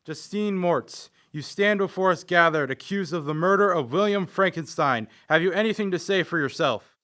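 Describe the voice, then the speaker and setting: An actor playing a stern, unbending judge in a radio play, with a formal, declamatory manner. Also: male voice, high-pitched, moderate pace, pushed and bright. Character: stern, unbending male judge pronouncing sentence.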